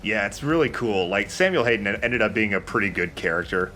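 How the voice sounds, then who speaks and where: A man's voice: fairly low pitch, expressive, fairly quick, pushed and bright. A man giving casual, opinionated video game commentary with plenty of swearing. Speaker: let's play commentator. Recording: background music under the voice. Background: game music.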